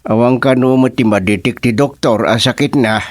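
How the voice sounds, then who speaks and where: A man playing a concerned villager with an Ilocano accent and a gravelly voice in a radio drama. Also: mid-range voice, moderate pace, no room sound, natural voice. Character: concerned village man relaying news of a child's illness.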